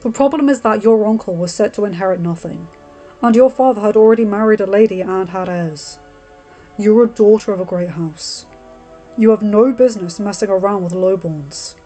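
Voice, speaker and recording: A woman voicing a reserved young lowborn male blacksmith who speaks tersely and bluntly. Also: normal speed, warm tone, faint music behind, natural voice. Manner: terse, plain-spoken, matter-of-fact.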